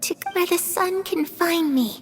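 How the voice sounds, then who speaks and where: Video game ghost child: high-voiced orphan girl, wistful and secretive, lingering over her words. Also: measured pace, soft background music. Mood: ominous, wistful.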